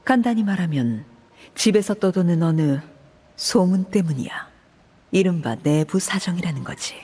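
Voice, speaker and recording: A woman playing a commanding, coolly composed orphanage head in a video game, speaking formally and with measure in a breathy voice. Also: fairly low pitch, lively intonation, normal speed, warm tone. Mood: calm, authoritative. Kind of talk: Korean video game voice acting.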